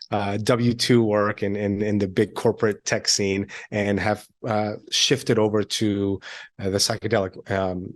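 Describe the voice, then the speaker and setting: Low-voiced guy in his 30s on a call, relaxed guest, lots of ums and laughs. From Iran, raised near Washington DC. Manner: friendly, laughs easily, filler-heavy. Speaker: entrepreneur guest. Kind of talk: casual interview chat.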